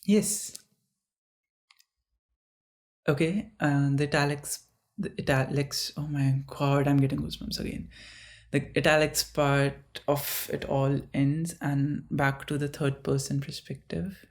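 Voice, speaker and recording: Slow, halting reader of own story. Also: mid-range voice, level tone. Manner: slow, halting reading broken by chatty asides. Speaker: author reading own story. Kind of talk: reading aloud own fiction with asides.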